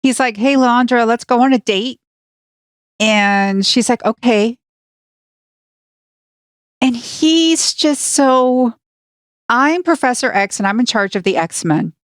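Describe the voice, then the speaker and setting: A woman co-hosting a podcast, chatting casually with a slightly high voice. She is animated and slips into playful put-on voices when quoting people. Her voice sounds dry and close. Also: no echo. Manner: animated, acts out characters' lines in quotes. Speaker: podcast co-host. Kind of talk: casual chat.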